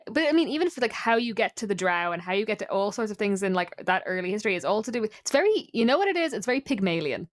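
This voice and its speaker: A woman's voice: medium pitch, very animated, very fast, few pauses, dry recording, studio quality. A woman talking casually about folklore and games, opinionated and given to rambling asides.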